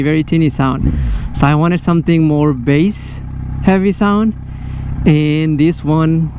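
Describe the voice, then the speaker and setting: A man with a Bangladeshi accent chats casually and enthusiastically to his viewers as he rides, often repeating himself. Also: on the high side. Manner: enthusiastic, repetitive, conversational. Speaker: motorcycle vlogger, host. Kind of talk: casual chat to viewers while riding. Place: outdoors, riding a motorcycle on the road. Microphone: helmet-mounted mic. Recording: muffled helmet mic, loud motorcycle engine noise. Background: motorcycle exhaust and traffic noise.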